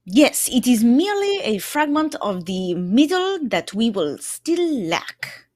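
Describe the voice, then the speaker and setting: A woman's voice: a little high, sing-song, takes it slowly, a little mellow, no room sound, studio quality, exaggerated voice. A young woman playing a fussy, self-assured Belgian male detective in a radio mystery reading. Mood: composed, wry. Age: young adult. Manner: theatrical, courteous, precise. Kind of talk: live reading of old-time radio mystery script.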